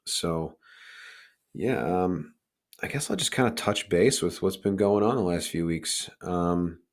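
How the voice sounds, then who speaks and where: Podcast host, male, deep raspy voice worn hoarse, talking candidly and informally on his own. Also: measured pace. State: hoarse, recovering from lost voice. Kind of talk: casual solo podcast monologue.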